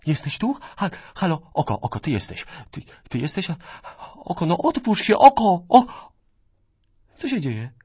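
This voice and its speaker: An actor playing the bewildered, frightened mind of a newborn in a radio play, asking hesitant questions. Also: male voice, high voice, huge pitch swings, unhurried, a little mellow, slightly rough, no echo, heavily acted. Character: newborn's bewildered consciousness, male, fearful.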